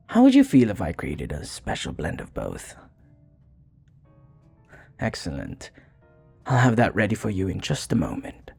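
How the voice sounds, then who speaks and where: A man playing a charming, courteous, flirty vampire bartender in an intimate ASMR roleplay, speaking in a breathy, gravelly voice that often drops to a whisper. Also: sits low, darkish tone, exaggerated voice. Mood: flirty, teasing. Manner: suave, courteous, teasing, unhurried.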